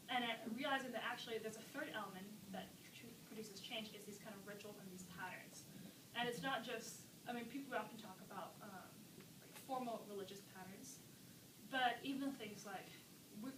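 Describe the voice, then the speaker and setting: A young woman from Malaysia giving a graduation speech, thoughtful and self-deprecating, with many fillers and restarts. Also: medium pitch. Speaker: graduating student. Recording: roomy hall, far from the mic, noisy.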